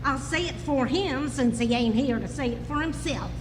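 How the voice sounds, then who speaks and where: A woman's voice: high voice, normal speed, close to an everyday voice. A woman playing a blunt, folksy, fearless frontier aunt in a live Western radio drama. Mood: wry. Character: salty, sharp-tongued, fearless frontier aunt. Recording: reverberant, noisy live stage radio play.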